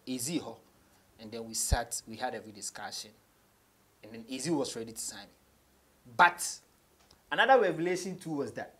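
Husky-voiced young Ghanaian man. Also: medium pitch. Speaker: guest, rapper. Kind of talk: casual interview chat, mixing English and Twi. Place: small indoor radio studio.

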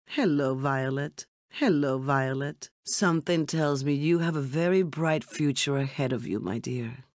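An actress playing a poised, elegant secret agent in a video game, speaking with formal, composed politeness. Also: female voice, sits low, no echo, clean studio sound. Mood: gracious, pleased. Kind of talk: scripted video game character dialogue.

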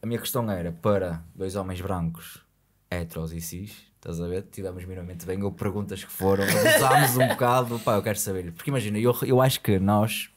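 Casting: rapid-fire, deep-voiced Portuguese podcast host, rambling and frank, studio mic. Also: male voice. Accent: European Portuguese. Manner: rambling, frank, peppered with fillers. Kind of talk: casual podcast chat. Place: small podcast studio with foam panels. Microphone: boom-arm studio mic.

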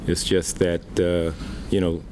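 A middle-aged baseball manager answering reporters' questions at a post-game press conference, plain-spoken and unhurried with many fillers, into a desk microphone. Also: male voice, low-pitched, completely flat delivery, noisy background.

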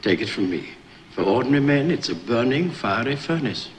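An older actor playing a sardonic, worldly British official, delivering a dry, measured warning in 1960s film style, heard on a noisy old film soundtrack. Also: male voice, medium pitch, sing-song, natural voice. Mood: wry warning.

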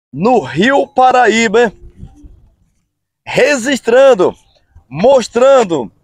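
A man, hosting a casual outdoor vlog from a bridge and filming himself on a phone at arm's length, talking in an upbeat way with drawn-out phrases. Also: very high voice, no echo, studio quality. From Brazil. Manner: upbeat, drawn-out phrases, frequent "hein, pessoal?" tags. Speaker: channel host. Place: outdoors on a road bridge over a river. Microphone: phone camera at arm's length.

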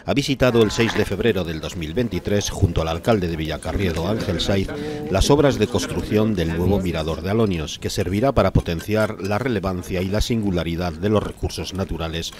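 A man's voice: low voice, fairly quick, a crowd in the background. A man with an accent from Spain reading a scripted news voice-over as narrator. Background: music.

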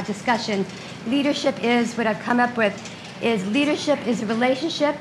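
A woman's voice: on the high side, noisy background. A middle-aged woman giving a lecture with slides in a conference room, talking conversationally with frequent ums and restarts. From United States. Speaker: guest speaker. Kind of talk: lecture presentation. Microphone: lectern mic, later handheld.

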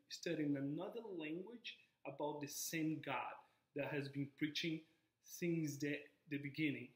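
A man's voice: fairly high pitch, fairly bright tone. A man in his twenties from Brazil with a Brazilian Portuguese accent, earnestly sharing his personal testimony to a camera in a living room. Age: 20s. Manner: earnest, gestures with both hands. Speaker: Bible college student. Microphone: camera in front of him. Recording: echoey room.

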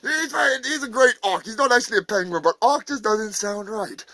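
Guy playing a crazed meth-head zoo owner, super high raspy yell, gravelly edges, crude and bragging. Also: lively intonation, moderate pace, no room sound, full character voice. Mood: manic. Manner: rowdy, profane, boastful showman pitch. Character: manic meth-smoking male roadside zoo owner. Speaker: comedian in character. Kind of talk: crude sketch comedy monologue.

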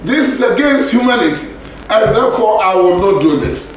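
A man with a Ghanaian accent preaching a radio sermon, repeating scripture lines in a slow, intoning way. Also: sits high, lively intonation. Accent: Ghanaian English. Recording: echoey radio broadcast with faint hiss.

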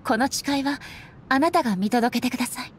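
A woman voicing a resolute warrior deity in an anime game, speaking solemnly with formal, measured phrasing. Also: natural voice. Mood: solemn, determined. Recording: faint low rumble behind voice. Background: faint rumbling noise.

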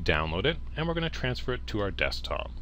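A man's voice: lowish voice, sing-song, fast talker, a bit bright, a little hiss or hum. A man presenting a step-by-step tech tutorial in a plain-spoken, instructional way, addressing the viewer directly. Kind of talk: step-by-step software tutorial narration.

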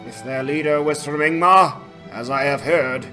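A man voicing an old dwarf lord who speaks in a measured, formal, emphatic way in a dramatized fantasy game. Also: on the high side, pushed and bright, faint music behind. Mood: commanding, deliberate. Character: old dwarf lord, commanding, shrewd, faintly scornful.

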